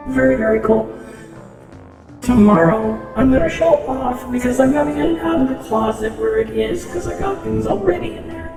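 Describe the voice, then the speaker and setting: A man's voice: extremely high pitch, lively intonation, moderate pace, gravelly, roomy sound, big-room sound, recorded from a distance, over music, low-quality recording. A male vlogger chatting casually, his voice run through a blocky voice changer filter. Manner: rambling, chatty. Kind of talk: casual chat.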